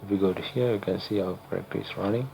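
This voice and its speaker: A young man from Sri Lanka talks through a coding tutorial in English, which is not his first language. His voice sits low and gravelly, and he explains haltingly with frequent fillers and restarts. Faint music plays underneath. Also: fairly even intonation, dark tone, rough recording. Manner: halting, self-correcting explanations with many fillers. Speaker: channel host, tutorial maker. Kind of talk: screen-recorded coding tutorial.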